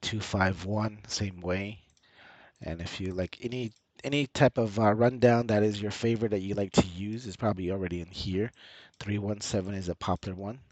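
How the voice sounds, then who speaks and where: A man with a low voice talks through an on-screen tutorial in a casual, hesitant way, often repeating himself. He sounds like he has a slight cold. The recording is close and dry. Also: steady tone, moderate pace, darkish tone, no room sound. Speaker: channel host and tutorial presenter.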